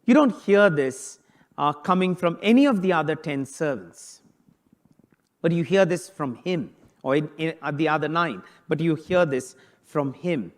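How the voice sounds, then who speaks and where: High-voiced man preaching a homily. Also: animated, measured pace. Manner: conversational preaching, rhetorical questions. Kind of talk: sermon on a Bible passage.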